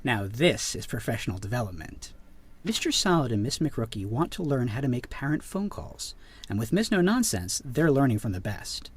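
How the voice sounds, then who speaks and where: A man's voice: mid-range voice, big pitch swings, moderate pace, slightly rough. A man reading a scripted explainer narration in a playful storytelling way, with emphatic stresses. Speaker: narrator of a teacher training video.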